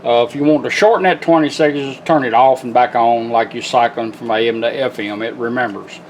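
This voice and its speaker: A man's voice: mid-range voice, fairly quick, a little hiss or hum, poor audio. A man giving an informal, plain-spoken, step-by-step demonstration of a product. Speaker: car radio builder giving a product demonstration. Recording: music playing behind the voice.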